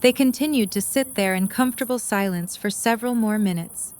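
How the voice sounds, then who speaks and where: Narrator: woman, mid-range pitch, scripted storytelling for an audio drama. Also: brisk, darkish tone.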